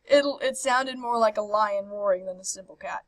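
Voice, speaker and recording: A woman hosting a game playthrough, reading visual novel text aloud with animated, casual reactions and stumbling over words now and then. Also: mid-range voice, normal speed, background noise. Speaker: host of a video game playthrough. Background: game background music.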